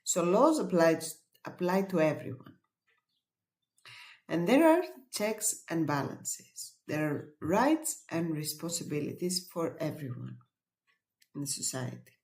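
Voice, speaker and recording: Woman explaining things slowly, kinda low voice, roomy echo. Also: lively intonation, darkish tone. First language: Greek. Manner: measured and explanatory, pauses with fillers. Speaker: webinar presenter. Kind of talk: educational webinar talk. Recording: echoey room.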